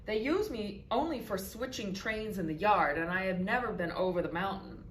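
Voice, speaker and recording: A woman's voice: mid-range voice, lively intonation, moderate pace, natural voice. A woman voicing a very small, kind female engine in a storybook, modest yet determined. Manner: gentle, modest. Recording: roomy echo with background noise.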